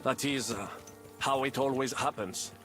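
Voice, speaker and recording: An actor playing a worldly, pragmatic French mercenary in a medieval video game, lecturing in a French accent peppered with French phrases. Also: male voice, medium pitch, unhurried, close to an everyday voice. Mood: matter-of-fact. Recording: loud game music behind.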